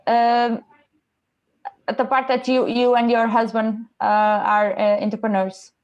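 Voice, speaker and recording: A woman's voice: fairly high pitch, flat delivery, measured pace, dull audio. A woman moderating an online seminar, speaking casually and politely with tentative, hesitant phrasing.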